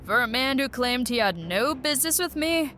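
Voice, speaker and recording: Coy cat burglar, voiced by a woman, teasing, higher voice, music behind. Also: animated, normal speed, bright, forceful tone.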